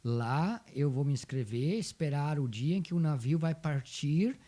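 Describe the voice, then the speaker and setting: Mellow, animated southern Brazilian man. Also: on the high side, a little slow. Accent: southern Brazilian, Rio Grande do Sul. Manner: emphatic, repeats phrases for effect. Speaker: interview guest, folk dance group coordinator. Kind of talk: conversational storytelling.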